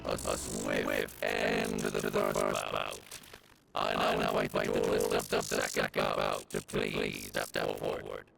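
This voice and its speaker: A solemn master of ceremonies in a fantasy game, with an extremely low, gravelly voice. He proclaims each formal phrase in a grave, stately way, with loud music behind him. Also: monotone, slow pace, heavily acted, rough recording. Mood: solemn, commanding. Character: solemn, commanding male officiant of an ancient rite.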